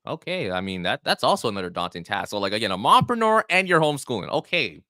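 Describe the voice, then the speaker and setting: A man's voice: medium pitch, sing-song, quick pace, pushed and bright, no echo, studio quality. A man hosting a radio interview show, talking casually and rambling with many fillers.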